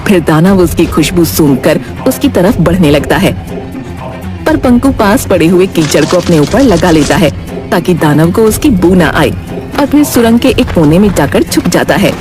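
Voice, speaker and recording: A woman's voice: fairly low pitch, expressive, moderate pace, over music. A woman storyteller giving scripted narration of a Hindi tale.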